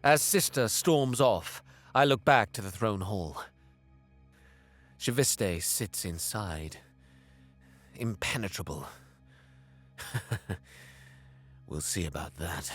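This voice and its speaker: A man's voice: on the low side, expressive, takes it slowly, long pauses, a bit bright, soft background music. A man playing a bitter, scheming young alien prince in an audio drama, with theatrical, disdainful delivery that savors cruelty. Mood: brooding, disdainful. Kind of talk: dramatic first-person narration and dialogue, audio drama.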